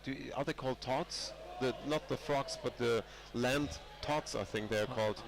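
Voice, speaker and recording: A man's voice: mid-range voice, moderate pace, creaky, music in the background. A man with a cold commentating a live match, rambling with many fillers and restarts. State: has a cold. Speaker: sports commentator.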